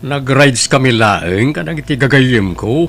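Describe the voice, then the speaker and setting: A man's voice: mid-range voice, lively intonation, measured pace, creaky, fairly bright tone, faint music behind. A man with an Ilocano accent playing a carefree, womanizing young son and brother in an audio drama. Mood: defensive.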